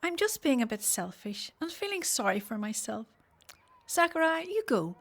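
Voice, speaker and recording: A loving older wife in a radio drama, wistful and plaintive. Her voice is notably high and soft-hearted. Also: sing-song, moderate pace, full character voice. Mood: reassuring. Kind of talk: radio play acting.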